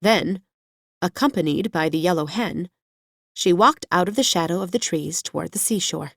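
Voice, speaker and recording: Lowish-voiced woman narrating a storybook. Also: moderate pace, vocal fry, no room sound, studio quality. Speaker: audiobook narrator. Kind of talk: scripted children's fiction narration.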